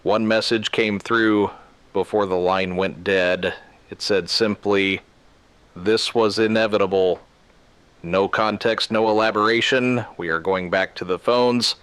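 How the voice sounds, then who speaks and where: A man playing a grave emergency radio broadcaster in a scripted drama, speaking solemnly and deliberately in short, clipped sentences. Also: fairly low pitch, fairly even intonation, a bit bright, clean tone, no echo. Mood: grave, controlled. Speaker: radio news host.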